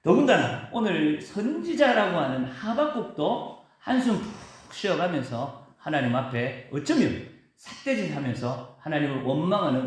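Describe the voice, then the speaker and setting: Pastor, male, emphatic preaching style, animated pitch, heavy church-hall reverb. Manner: preaching with emphatic rises and drawn-out stresses. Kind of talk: sermon. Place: church sanctuary.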